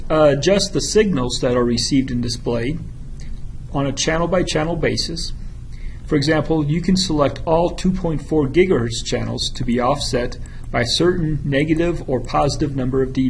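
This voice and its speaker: A man giving a methodical, instructional narration of a software tutorial, as a product demonstrator. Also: fairly high pitch, slight background noise, low-quality recording.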